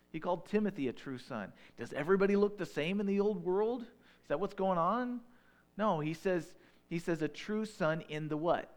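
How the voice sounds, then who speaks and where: A man in his thirties with a Northern Illinois accent, preaching a sermon in a relaxed, conversational way with folksy asides. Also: fairly high pitch. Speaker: pastor.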